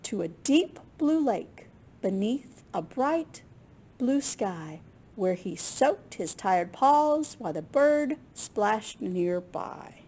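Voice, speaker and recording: A woman reading a picture book aloud to children in an expressive, unhurried way, with small asides to her listeners. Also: mid-range voice, husky. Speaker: children's storytime reader at a library.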